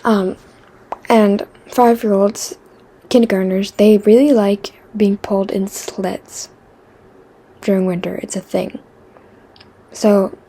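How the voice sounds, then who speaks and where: Chatty teenage girl from Quebec, soft husky voice. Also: medium pitch. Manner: chatty, self-deprecating, many fillers and restarts; drops into a whisper for asides. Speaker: vlogger. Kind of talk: rambling casual chat.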